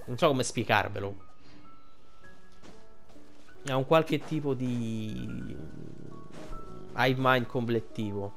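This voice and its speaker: A man giving casual, informal game commentary, halting and correcting himself as he translates dialogue on the fly. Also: medium pitch, vocal fry, a bit bright, faint music behind. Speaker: video game streamer.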